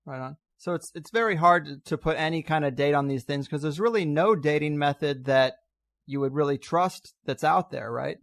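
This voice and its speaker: Male podcast interviewer. Fairly high voice, casual conversational delivery, close and dry. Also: moderate pace, creaky, fairly bright tone, no room sound.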